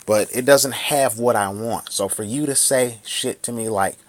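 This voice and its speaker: A man's voice: mid-range voice, normal speed, no room sound. A man in his thirties ranting angrily to the camera in a vlog, swearing a lot and repeating himself. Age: 30s. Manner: angry, profane, repetitive ranting. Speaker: vlogger.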